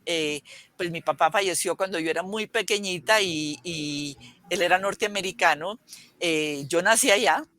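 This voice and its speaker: A woman's voice: fairly low pitch, moderate pace, a bit bright. An older woman with a Colombian accent, a guest educator reminiscing in an interview, rambling through her stories with frequent restarts and fillers.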